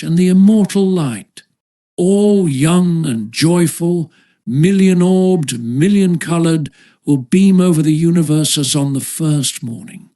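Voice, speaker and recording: A man narrating a philosophical essay as an audiobook, with a formal, measured literary delivery. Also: fairly high pitch, mellow.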